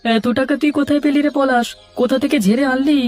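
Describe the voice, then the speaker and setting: A man's voice: very high voice, steady tone, quick pace, a little mellow. Cartoon sidekick boy, played by a man: inquisitive, a bit jumpy, exclaiming, faint music bed. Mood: curious, nervous. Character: curious, slightly nervous village boy, thief's sidekick. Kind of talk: cartoon dialogue acting.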